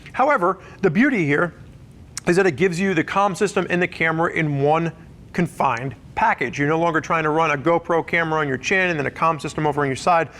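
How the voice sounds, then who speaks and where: A man's voice: fairly high pitch, fairly bright tone. A man presenting a gear review in a conversational, explanatory way, talking through features as a semi-scripted walkthrough.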